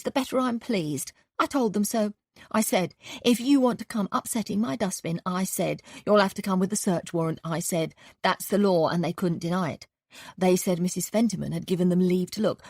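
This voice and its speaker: A woman reading an audiobook, voicing an angry, shrill, indignant older landlady. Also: no echo, clean studio sound.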